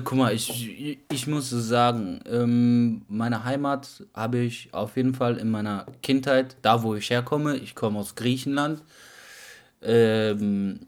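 A young man from Germany co-hosting a casual podcast chat, using street slang, filling his talk with fillers and restarting his sentences. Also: medium pitch. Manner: slangy, calls the other man brother, many fillers and restarts. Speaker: podcast co-host.

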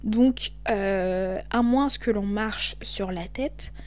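A young woman from Cameroon with a Cameroonian French accent hosts a podcast, talking in an animated, emphatic way. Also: medium pitch, very slow. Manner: animated, emphatic, switches into English. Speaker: podcast host. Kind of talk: casual monologue.

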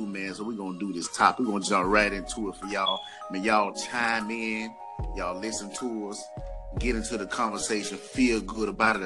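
A man hosting a podcast, chatting casually with an animated, hyped-up delivery. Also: lowish voice. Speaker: podcast host.

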